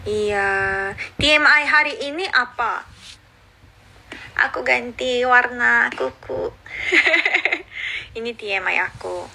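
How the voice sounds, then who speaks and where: A woman in her thirties who grew up in Indonesia, chatting casually on a phone livestream and answering viewer comments, cheerful and quick to laugh. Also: fairly high pitch, creaky, bright, forceful tone, slight background noise, low-quality recording. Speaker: livestreaming YouTuber. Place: outdoors or at home in Seoul.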